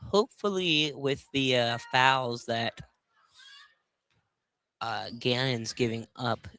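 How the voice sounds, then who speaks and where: A man with a medium-pitched voice offers color commentary on live basketball. He speaks casually and analytically, in a halting way, breaking off and picking his thoughts back up. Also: sharp tone, clean tone, no echo. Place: courtside at a college basketball game.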